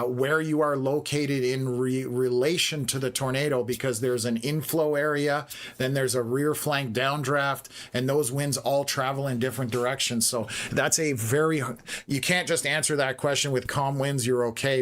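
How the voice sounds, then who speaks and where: A man hosting a weather livestream from Canada. He answers viewer questions in a casual, unhurried way with many filler words. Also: medium pitch, a bit bright.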